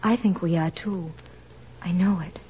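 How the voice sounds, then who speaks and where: A woman playing an earnest, adoring teenage niece in 1940s radio drama style, with halting, intense phrasing. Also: lowish voice, natural voice. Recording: muffled, hissy 1940s radio recording.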